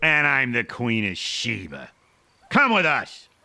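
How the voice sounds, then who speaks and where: A man playing a brash small-town constable in an old mystery radio play. His voice is very bright and hard-edged, swinging widely in pitch as he blusters bluntly. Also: huge pitch swings, slow pace, vocal fry, exaggerated voice. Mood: gruff, bossy. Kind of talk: old detective film radio dramatisation.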